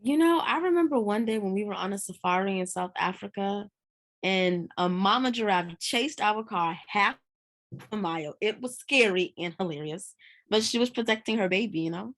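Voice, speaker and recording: A woman's voice: mid-range voice, lively intonation, normal speed, fairly bright tone. A woman acting a tired, easygoing character in a live dramatic script reading, casual and understated. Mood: warm reminiscing. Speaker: actor in a screenplay table read.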